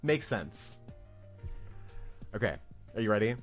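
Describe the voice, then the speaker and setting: A man's voice: lowish voice, very animated, slow pace, soft background music. A man co-hosting a game read-along, chatting casually between script readings.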